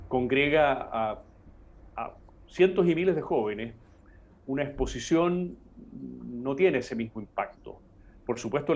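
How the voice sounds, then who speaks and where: A man's voice: mid-range voice, lo-fi sound. An older man from Chile, a history professor giving a live online class in a loose, conversational lecturing manner full of fillers.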